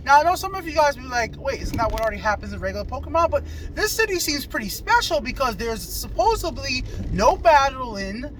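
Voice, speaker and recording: A man with a very high voice hosts a casual movie review. He talks in a chatty way and often looks to his companion for agreement. He is recorded inside a moving car, with light road noise around him. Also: sing-song, fairly quick, few pauses, fairly bright tone, poor audio. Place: inside a car while driving.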